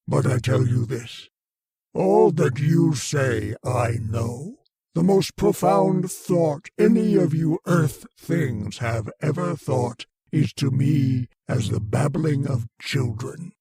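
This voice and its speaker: An actor playing an ancient, arrogant alien mind in an old-time radio horror play, rasping and declaiming with pompous contempt. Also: male voice, medium pitch, slow pace, dark tone, no echo, full character voice. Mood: haughty scorn. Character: ancient arrogant alien mind, a menacing meteor-borne invader.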